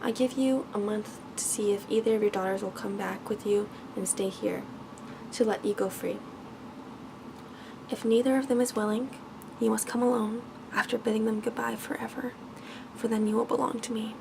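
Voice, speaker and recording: A woman voicing an angry, commanding male beast in a bedtime storybook, with stern, formal speech, reading softly for ASMR. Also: a little high, steady tone, normal speed, vocal fry, noisy background. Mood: stern, grim.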